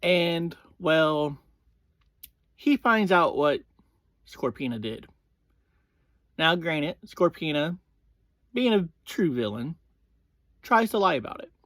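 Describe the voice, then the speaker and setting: A man hosts an informal recap in a fairly high-pitched voice. He talks very slowly and haltingly, breaking his sentences into short pieces and restarting with "well". The sound is dry, with hardly any room on it. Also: very animated, fairly bright tone, no echo. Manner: halting, starting many phrases with "well". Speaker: host of a review series. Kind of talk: casual episode review, informal recap.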